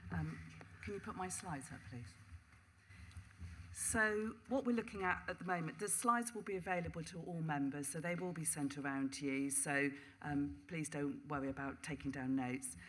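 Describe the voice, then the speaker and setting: Croaky-voiced woman presenting finances. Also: sits low, moderate pace, slight background noise. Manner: explanatory, steady, polite. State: croaky, sore throat. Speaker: finance officer presenting budget slides. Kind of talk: formal presentation at a council meeting. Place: council meeting chamber.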